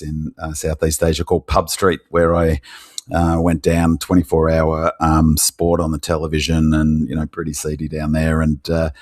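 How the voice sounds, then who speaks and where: A man with an Australian accent and a very low, croaky voice, hoarse from yelling. He chats on a sports podcast in an even tone, rambling and halting as he goes. The recording is dry. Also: dry recording. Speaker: podcast co-host. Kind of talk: casual sports podcast chat. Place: hotel room.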